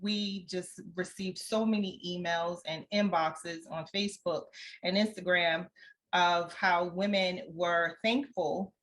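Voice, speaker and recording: A woman's voice: lowish voice, a bit bright. A woman guest in an interview, speaking conversationally as an author in a reflective, encouraging way.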